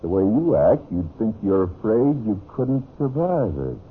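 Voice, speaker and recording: An actor playing a hearty, insistent cabin host in a mid-century radio drama, with an American accent and a jovial, coaxing manner. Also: male voice, on the low side, big pitch swings, normal speed, breathy edge, exaggerated voice. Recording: noisy, narrow-band old radio recording.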